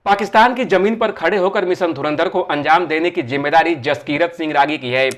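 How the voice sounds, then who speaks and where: A man's voice: fairly high pitch, fairly quick. A man narrating a scripted film-review video essay in an explanatory way, posing rhetorical questions.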